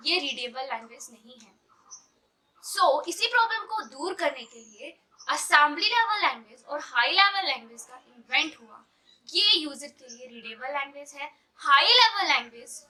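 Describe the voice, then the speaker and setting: A young Indian woman with an Indian accent explaining a computer science lesson and mixing English terms into Hindi, reading English definitions slowly. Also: high-pitched, bright, forceful tone, poor audio.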